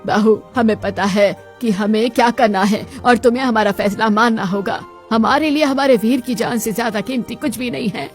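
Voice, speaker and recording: A woman playing an imperious old grandmother of a rich family in a dramatized moral story, speaking solemnly and deliberately. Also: fairly high pitch, faint music behind, close to an everyday voice. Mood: stern.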